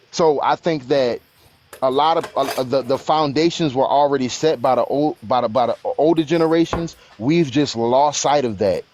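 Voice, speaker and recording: A man's voice: mid-range voice, a little mellow, lo-fi sound, a little hiss or hum. Earnest young man talking it through. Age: 20s. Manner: earnest, explaining with examples and many restarts. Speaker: panelist. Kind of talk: casual panel talk. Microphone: live stream call.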